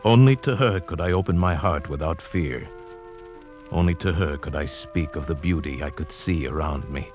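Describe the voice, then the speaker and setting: An earnest, dreamy young lover from an old radio play. His voice is extremely low, and he speaks in poetic, theatrical phrases. Music plays faintly under him. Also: normal speed, muffled recording. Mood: wistful narrating. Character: lovestruck sighted outsider man, village slave, earnest and dreamy. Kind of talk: 1940s-50s radio drama acting, with first-person narration.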